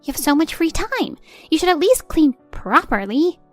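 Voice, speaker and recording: A woman voicing a spiteful, nagging middle-aged mother-in-law who scolds in a sneering, drawn-out way. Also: high-pitched, big pitch swings, no room sound, soft background music, pitched above the performer's own voice. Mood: defensive.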